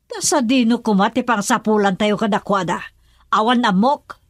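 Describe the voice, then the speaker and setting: An indignant mother from a radio drama played by a woman, scolding and accusing. Her mid-pitched voice is recorded very dry, and she presses each point insistently. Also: moderate pace, dry recording, lightly acted. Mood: stubborn, worried. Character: indignant, accusing mother of a runaway young daughter. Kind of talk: Ilocano radio drama acting.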